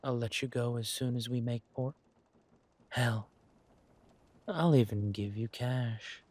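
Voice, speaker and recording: A man playing a menacing, sadistic king who speaks with silky politeness and a deliberate, theatrical, taunting delivery in a dramatic roleplay. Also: mid-range voice, a little mellow. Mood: menacing ultimatum. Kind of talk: dramatic villain roleplay audio.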